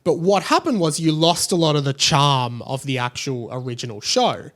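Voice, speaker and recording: A man's voice: on the high side, moderate pace, fairly bright tone. A young man hosting a podcast solo, giving casual, opinionated commentary in a rambling, conversational way with emphatic points. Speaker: podcast host.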